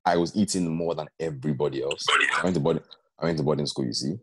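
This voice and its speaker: A young man with a very deep voice, telling personal stories in an earnest, conversational way and often asking "do you know what I mean". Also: fast talker, heavy background noise. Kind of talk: casual group chat.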